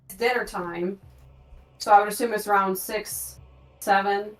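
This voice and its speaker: A woman's voice: medium pitch, fairly even intonation, unhurried, a bit bright, recorded from a distance. A woman chatting casually as a player in a tabletop role-playing game. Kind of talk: casual chat. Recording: roomy, off-mic, faint music behind.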